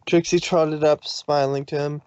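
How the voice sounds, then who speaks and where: A man's voice: fairly high pitch, level tone, a little slow, darkish tone, clear, resonant tone, dry recording, muffled recording. A man narrating a fan fiction story from a script.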